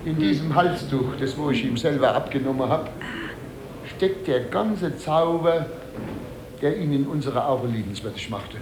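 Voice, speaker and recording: An actor playing a pompous, blustering, superstitious small-town mayor in a 1950s German radio play. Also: male voice, a little high, expressive, moderate pace, background noise, lightly acted. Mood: indignant, alarmed. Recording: 1950s radio recording from cassette.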